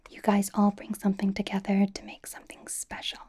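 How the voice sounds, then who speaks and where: Girl doing a sweet bubbly kpop idol, asmr roleplay, super breathy soft whisper, chatty and a bit nervous, mellow tone. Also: medium pitch, completely flat delivery, a little fast, natural voice. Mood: bubbly, awkward. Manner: chatty, rambling, reassuring. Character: bubbly young female K-pop idol rapper, nervous, doting.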